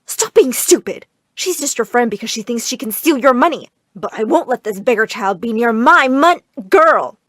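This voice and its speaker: An overbearing, money-minded mother, acted out by a woman storyteller, scolds and commands with high drama. Her voice is high and swoops widely, recorded very dry. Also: expressive, normal speed, fairly bright tone, no room sound. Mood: furious snobbish. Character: overbearing, snobbish, money-hungry mother, shrill and melodramatic.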